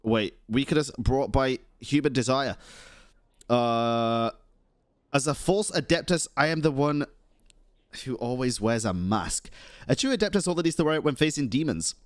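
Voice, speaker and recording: A man's voice: mid-range voice, lively intonation, normal speed, dry recording. Dry, close-miked young male game streamer. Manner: informal, reactive. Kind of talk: casual live gameplay commentary, reading dialogue aloud. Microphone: close streaming mic. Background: game music.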